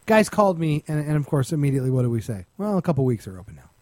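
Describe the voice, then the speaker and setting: A man's voice: on the high side, big pitch swings, very fast, darkish tone, no room sound. A male comedian hosting a talk show, bantering casually in a blunt, interjecting way. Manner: blunt, interjecting, self-described aggressive.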